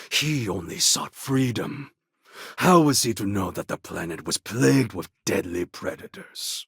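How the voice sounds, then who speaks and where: A man's voice: deep voice, pushed and bright, no room sound, studio quality, heavily acted. An actor playing a proud, menacing male warlord in a video game, speaking in a grave, formal and threatening way. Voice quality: gravelly, husky.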